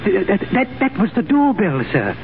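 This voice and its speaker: A man's voice: sits high, huge pitch swings, moderate pace, phone-quality sound, slight background noise, heavily acted. An actor playing a timid, flustered male valet who stammers, heard on a 1930s radio broadcast.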